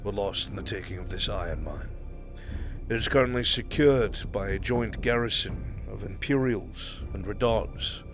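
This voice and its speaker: A man playing a proud, dutiful military lord in a fantasy roleplay, speaking in formal, measured, deferential phrases. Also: fairly low pitch, a bit bright, soft background music. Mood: formal, firm.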